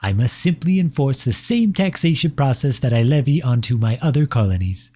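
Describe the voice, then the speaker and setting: A man's voice: medium pitch, dark tone, slightly rough. A man voicing a pompous, possessive empire personified as a cloyingly affectionate suitor in a scripted ASMR roleplay, reading out letters with sugary condescension. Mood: smug, fond.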